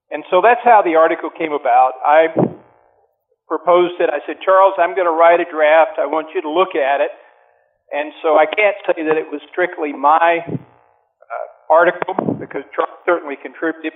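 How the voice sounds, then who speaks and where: A man speaking as an interview guest over the telephone, explaining his views in a deliberate, emphatic way with pauses. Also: on the high side. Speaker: advocacy group president, interview guest. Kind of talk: phone interview, explanatory opinion talk. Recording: narrow-band tinny phone line.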